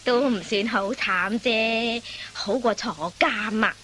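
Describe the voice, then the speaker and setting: A woman's voice: a little high, takes it slowly, fairly bright tone. A woman playing a poor, no-nonsense mother who scolds and mocks in a Cantonese radio comedy, on a faintly noisy old recording. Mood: mocking.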